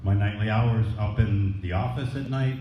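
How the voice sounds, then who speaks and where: Male business owner, informal thank-you speech, plainspoken; dull recording with clear background noise. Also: fairly low pitch, moderate pace. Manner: plainspoken, colloquial. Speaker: business owner accepting an award. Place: echoey indoor room at a presentation event. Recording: echoey, dull, noisy room recording.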